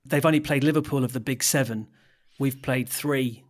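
A man's voice: mid-range voice, steady tone, moderate pace. A man co-hosting a casual football podcast, chatty and laughing often, with hesitant restarts as he reads out stats. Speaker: podcast co-host.

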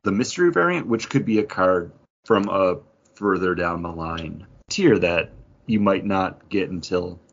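A man's voice: low voice, animated, measured pace, vocal fry, muffled recording. A man co-hosting a casual gaming chat, explaining things in detail with plenty of slang. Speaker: podcast co-host.

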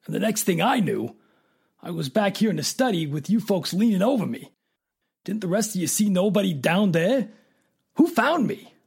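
A man playing a dazed, plainspoken tough guy in a radio drama, with a creaky, raspy voice and halting, ungrammatical speech. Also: high voice, expressive, darkish tone, heavily acted. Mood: confused, defensive.

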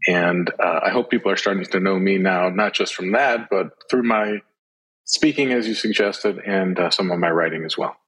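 A middle-aged man speaking as an interview guest in a reflective, conversational way with measured phrasing. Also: low-pitched, fairly bright tone, slightly rough, low-quality recording. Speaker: podcast guest, speaker and writer.

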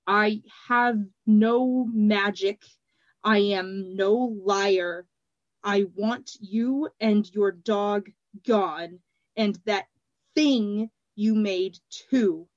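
A woman doing a slow-spoken furious glassblower. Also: fairly high pitch, a bit bright, no room sound, lo-fi sound. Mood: angry slow. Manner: slow, deliberate, forced words. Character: angry, slow-spoken grown male glassblower.

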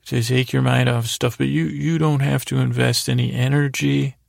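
Very creaky, gravelly man rambling at bedtime. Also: mid-range voice, fairly even intonation, no room sound, studio quality. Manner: meandering, hesitant, halting, trailing off; lighthearted, whimsical. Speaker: podcast host. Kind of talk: rambling bedtime monologue to help listeners sleep.

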